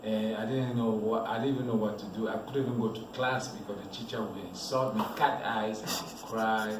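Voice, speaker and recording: Low-voiced man, distant and echoey. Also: a little fast. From Cameroon. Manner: relaxed, rambling storyteller with restarts. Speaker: model, interview guest. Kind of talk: casual storytelling interview. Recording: echoey room, voice from a distance, noisy background.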